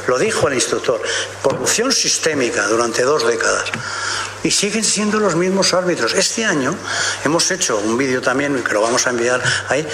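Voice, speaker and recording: A man playing an aggrieved older club president in a radio sketch, complaining and animated. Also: mid-range voice, a little hiss or hum. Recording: roomy recording with faint background.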